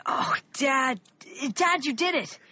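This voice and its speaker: Scared kid in a radio play, super high sharp voice, anxious, muffled audio. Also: male voice, lively intonation, slow, no room sound, full character voice. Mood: anxious, urgent. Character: frightened, urgent young boy. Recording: muffled audio with faint noise.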